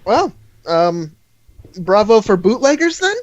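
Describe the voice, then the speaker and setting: Higher-voiced man, halting and chatty. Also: very animated, no room sound, highs cut off. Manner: halting, rambling, chuckles often. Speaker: live stream host. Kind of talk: casual fan podcast chat.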